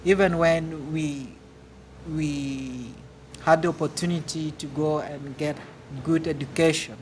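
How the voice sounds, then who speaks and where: A man from Uganda with a Ugandan accent, answering audience questions in a halting, earnest way at a live speaking event. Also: a little high, fairly even intonation, a little hiss or hum. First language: a Ugandan language. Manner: earnest, halting, repeats words. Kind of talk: public speaking Q&A.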